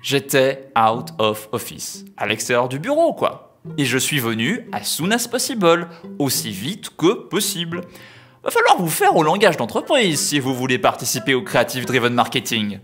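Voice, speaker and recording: A man's voice: mid-range voice, wildly swooping pitch, moderate pace, a bit bright, faint music behind. A man playing a self-important corporate employee in a comic audio drama, explaining things stuffily in office jargon. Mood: condescending.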